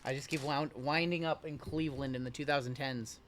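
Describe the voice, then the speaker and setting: A man's voice: mid-range voice, fairly even intonation, a little fast, background noise. A man hosting a live card break, chatting casually and reading the chat aloud with a joking manner. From Iowa. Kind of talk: casual live-stream chat while opening cards.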